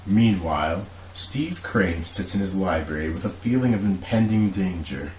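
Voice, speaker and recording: Male narrator for radio drama: low, animated delivery, roomy recording with faint noise. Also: moderate pace. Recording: roomy echo, faint hiss.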